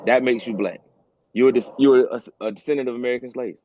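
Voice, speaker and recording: A man's voice: medium pitch, fairly even intonation, quick pace, dark tone. A man co-hosting a casual talk show, earnest, repeating phrases to drive a point home. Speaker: podcast co-host. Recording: dull lo-fi sound with faint hiss.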